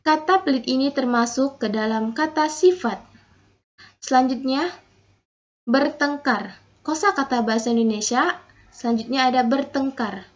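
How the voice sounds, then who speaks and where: A young woman student reading a prepared linguistics presentation in a steady, methodical way, saying example sentences aloud and then breaking them down. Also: high voice. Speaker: student presenting a final assignment. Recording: dull lo-fi recording.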